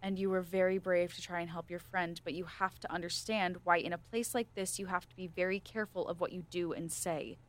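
A woman playing a firm but gentle research scientist in an audio drama, speaking in a measured, formal interviewer's manner. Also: lowish voice, fairly even intonation, close to an everyday voice. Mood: kindly admonishing. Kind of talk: student audio drama acting.

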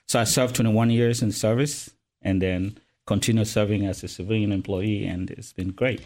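A man's voice: lowish voice, fairly even intonation, moderate pace, a little mellow. A middle-aged man from Cameroon, a retirement services officer, talking earnestly in a casual interview with frequent ums. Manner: earnest, hesitant with many ums.

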